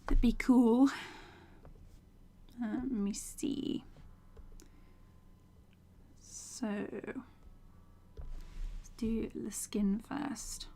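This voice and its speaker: A young woman chatting casually while she animates on a live stream, her voice tired from a day of talking, often laughing and drifting into soft mumbles as she works. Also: a little high, fairly even intonation, drawn-out words, pauses often, in a whisper. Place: small home room, indoors. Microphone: desk microphone with foam cover.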